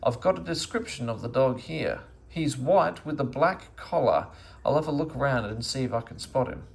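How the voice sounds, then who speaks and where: A man's voice: medium pitch, lively intonation, moderate pace, gravelly. A man voicing a well-meaning, bumbling and easily flustered fellow in a children's storybook. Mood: confident. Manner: fussy, eager to help. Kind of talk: children's storybook read-aloud.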